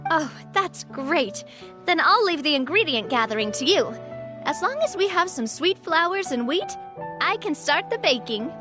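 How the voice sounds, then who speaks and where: A woman voicing a kindly, gracious young female boss in a video game, speaking in a friendly, expressive, encouraging way. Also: high voice, measured pace, music in the background. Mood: upbeat. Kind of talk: video game character acting.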